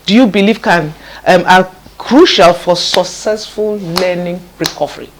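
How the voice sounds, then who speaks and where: A woman hosts the interview. She speaks in a somewhat low voice, restarts her questions often, and keeps affirming what her guest says. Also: measured pace, background noise. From Nigeria.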